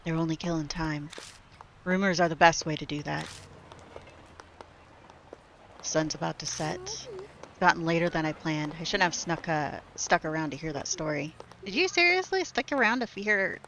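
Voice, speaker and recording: A woman's voice: low voice, big pitch swings, normal speed, slight background noise. A woman streamer reading a story game aloud into a desk microphone at home, chatting casually with lots of "like" and "um" fillers. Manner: casual, soft-spoken, full of "like" and "um" fillers.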